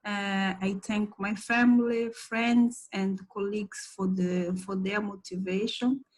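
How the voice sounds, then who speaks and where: Woman from Mozambique with a Portuguese accent; slow, halting graduation thanks. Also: mid-range voice, fairly even intonation. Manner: slow, halting, with many fillers. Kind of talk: graduation thank-you speech.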